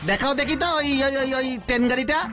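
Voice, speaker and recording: A woman's voice: high voice, steady tone, fast talker, lightly acted. A woman voicing a mischievous, curious little boy in a Bengali children's cartoon, speaking eagerly and impulsively. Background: background music.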